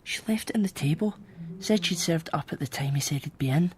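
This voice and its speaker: Woman acting low-voiced wry young Scot. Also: a little fast, a little mellow, natural voice. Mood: wry, hushed. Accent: Scottish. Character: young Glaswegian Billy, wry confidant in a troubled home.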